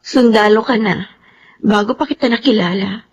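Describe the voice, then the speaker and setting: A woman's voice: mid-range voice, normal speed, breathy edge. A woman acting in a Filipino radio drama as an anxious, emotional young soldier's wife and mother, with a Filipino accent. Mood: earnest, resigned. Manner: tearful, pleading, scolding.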